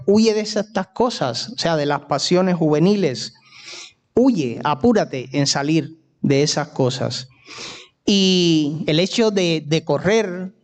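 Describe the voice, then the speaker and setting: A male preacher from Cuba with a Cuban accent, giving a sermon at an easy, slow pace. His voice sits a little high, and he talks in a conversational way, stopping to put questions to his listeners. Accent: Cuban Spanish. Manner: unhurried, conversational preaching with audience asides.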